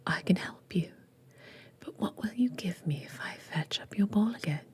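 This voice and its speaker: A woman's voice: medium pitch, expressive, a little mellow, lightly acted. Woman whispering as an earnest British talking frog. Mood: solicitous. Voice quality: breathy stage whisper. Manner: courtly, archaic, measured. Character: male talking frog, earnest, pleading, old-fashioned British.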